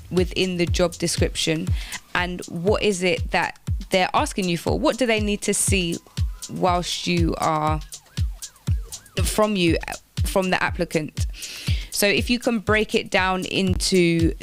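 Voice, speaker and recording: A woman presenting informal careers advice on the radio, explaining things step by step and repeating phrases for emphasis. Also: fairly low pitch. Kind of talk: informal instructional talk on job applications.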